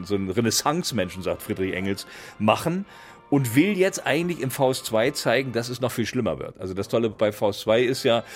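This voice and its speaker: An older man from East Germany talks casually and with conviction, like an interviewee explaining to someone he knows. He lends his phrases a theatrical emphasis, at a medium pitch. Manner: theatrical, quotes verses with emphasis. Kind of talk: animated casual explaining in conversation.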